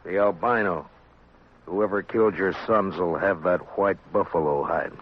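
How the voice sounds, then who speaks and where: An actor playing a world-weary, commanding marshal with a terse, measured manner in a radio western. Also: male voice, low voice, a little hiss or hum, natural voice. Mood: grave. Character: stern, world-weary male frontier marshal, calmly commanding. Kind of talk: 1950s radio western acting and narration. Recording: muffled 1950s radio recording with music.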